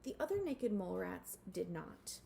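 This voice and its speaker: A woman reading a storybook aloud to children expressively, with animated asides to her listeners. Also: on the low side, normal speed, vocal fry, slight background noise. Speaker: storybook reader.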